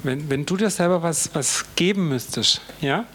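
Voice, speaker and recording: Halting man moderating a panel. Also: a little high, fairly bright tone, a little hiss or hum. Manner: halting, repeats words when stuck.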